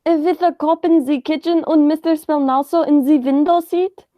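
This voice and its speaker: A cautious crooked doctor in a radio play, played by a woman, with a high, soft-edged voice. The sidekick speaks slowly and nervously, in a very dry recording. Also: fairly even intonation, no echo, lightly acted. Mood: uneasy. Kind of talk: radio play acting, high school theatre.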